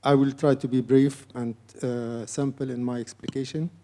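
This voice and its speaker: Hesitant man, halting non-native English. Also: medium pitch, little pitch movement, dark tone. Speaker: multimedia artist on a panel. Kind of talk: panel talk.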